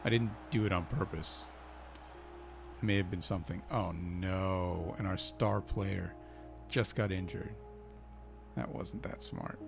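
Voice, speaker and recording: Icelandic male host, low voice with fry, casual play-by-play cheering his team on. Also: fairly even intonation, unhurried, long pauses, over music. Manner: chatty, thinking aloud, cheering his team on. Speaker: gaming channel host. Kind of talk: casual football manager game commentary.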